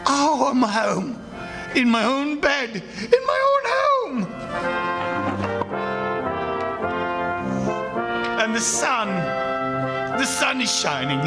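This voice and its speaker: Radio play lead: reformed old miser, very high creaky voice, excitable and theatrical, music behind. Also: male voice, exaggerated voice. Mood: giddy, joyful. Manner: exclamatory, repeating phrases with bursts of glee. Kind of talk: live radio theatre acting. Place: stage before a live audience.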